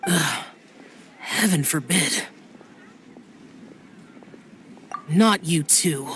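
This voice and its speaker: A man's voice: a little high, lively intonation, slow pace, fairly bright tone, slight background noise. A man voicing an aloof, reserved young immortal guardian in a video game, terse and stiff. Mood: flustered. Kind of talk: video game cutscene dialogue. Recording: music behind.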